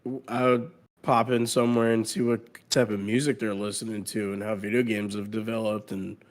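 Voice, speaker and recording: Lowish-voiced man in casual group chat. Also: level tone, fairly quick.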